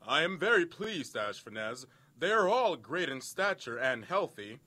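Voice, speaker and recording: Man acting a bright, booming, pompous Babylonian king. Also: very animated, unhurried, full character voice. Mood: grandly pleased. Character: proud, booming king of Babylon, grandly pleased. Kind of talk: dramatized Bible audio reading.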